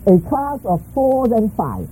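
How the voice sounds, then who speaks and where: A middle-aged man preaches emphatically, in short bursts that leave room for an interpreter. His voice sits high for a man yet sounds dark and mellow, and it swoops widely in pitch. A faint noise hangs behind him. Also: wildly swooping pitch, measured pace, rough recording. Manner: emphatic, humorous, in short phrases left for translation. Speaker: guest preacher. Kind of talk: sermon delivered through an interpreter.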